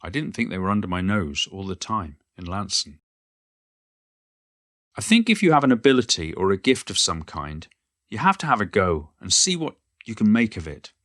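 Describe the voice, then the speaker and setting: A man's voice: low voice, big pitch swings, moderate pace, a bit bright, no echo, clean studio sound. A man playing an elderly poet and former schoolteacher, reminiscing in a modest, wry and reflective monologue. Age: older. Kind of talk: reflective first-person monologue, read from a book.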